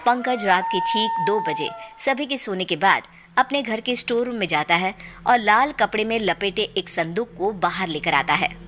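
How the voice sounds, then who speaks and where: A woman's voice: mid-range voice, animated, moderate pace. A woman narrator reading a scripted horror story in a storytelling style. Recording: clear music bed under the voice. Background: background music.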